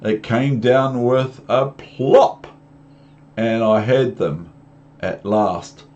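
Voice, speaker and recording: A man's voice: medium pitch, very slow, darkish tone. A man reading a picture book aloud to young children, with chatty asides and questions. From New Zealand. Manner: rhythmic, repetitive, talking down to young listeners with questions. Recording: muffled lo-fi home recording with background noise.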